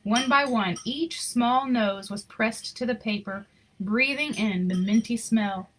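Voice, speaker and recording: A woman reading a picture book aloud in an expressive storytelling style, with casual, informal asides. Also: on the high side, slow, poor audio. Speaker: reader of picture books aloud.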